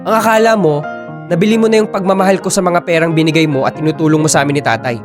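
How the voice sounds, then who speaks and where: A man's voice: on the high side, fast, over music, close to an everyday voice. A man playing a cold, exasperated young boyfriend, blunt and scornful, in a radio drama.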